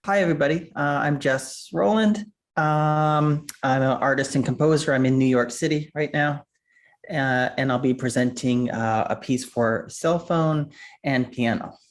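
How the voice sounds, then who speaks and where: A woman's voice: extremely low pitch, a little slow. A woman artist and composer speaking casually and lightly on a video call.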